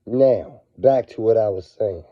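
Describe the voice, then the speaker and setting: A man with a cold, his voice scratchy and raspy, talking casually as a finance vlogger. He swings between low murmurs and excited bursts. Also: a little slow, no echo. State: hoarse from a cold. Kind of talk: casual video monologue. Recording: dull, lo-fi sound.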